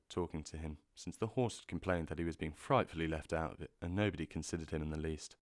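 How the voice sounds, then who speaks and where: A man narrating a classic children's story with measured, expressive delivery on a close mic. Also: sits low, no echo. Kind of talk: audiobook narration.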